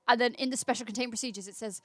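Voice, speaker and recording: A woman's voice: on the high side, fairly even intonation, racing, fairly bright tone, no echo. A woman hosting a casual livestream, reading aloud in an informal, chatty way. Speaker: livestream host. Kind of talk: casual livestream read-aloud with chat replies.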